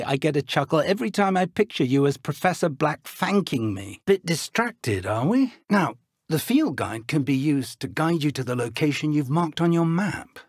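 A man playing a kindly, earnest elderly British wizard professor in a video game, with measured, refined, formal phrasing and a weathered voice. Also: medium pitch, slightly rough, no room sound, studio quality. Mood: fond, wry. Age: older. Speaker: game character voice actor. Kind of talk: video game dialogue acting.

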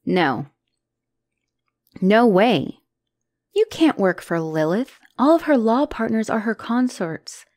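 A woman's voice: on the high side, very animated, takes it slowly, pauses often, darkish tone, dry recording, studio quality, exaggerated voice. A woman voicing an anxious, self-doubting young spider-woman girlfriend in an ASMR roleplay, speaking hesitantly and emotionally and often slipping toward a whisper. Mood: alarmed, fearful.

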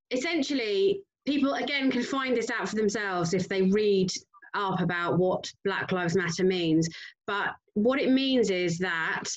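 A woman panelist on a video call explaining a point steadily. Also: medium pitch. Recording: dull lo-fi video call audio.

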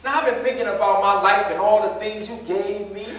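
A man's voice: high-pitched, steady tone, a little fast, a bit bright. An American man acting a proud, defensive grown son just out of prison, insistent, in a staged play reading. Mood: insistent, hurt. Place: indoor staged reading, recorded from afar. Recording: echoey, noisy stage from far off.

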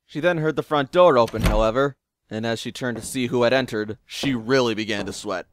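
A man's voice: mid-range voice, a little fast, background noise. A man narrating a scripted audio drama in a wry storytelling manner. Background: music.

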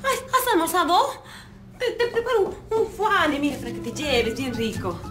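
An actress with a Peruvian accent playing an anxious, doting wife in a TV comedy serial, coaxing and plaintive. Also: female voice, high-pitched, big pitch swings, normal speed. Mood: coaxing, eager. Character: doting, anxious working-class wife, eager to please. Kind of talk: telenovela comedy acting. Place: small room on TV set. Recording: background music under dialogue.

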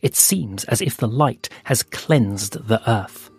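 Husky male storyteller over soft music. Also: mid-range voice, lively intonation, takes it slowly. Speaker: narrator. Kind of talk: scripted audio fiction narration.

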